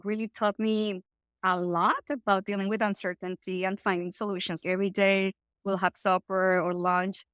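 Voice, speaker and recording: A woman from Colombia with a Colombian Spanish accent, a Spanish native speaker, telling her life story as a podcast guest in long animated runs with casual asides. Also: mid-range voice, clear, resonant tone, no room sound. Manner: animated, talks in long runs with asides like "right?" and "you know". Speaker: guest, startup founder.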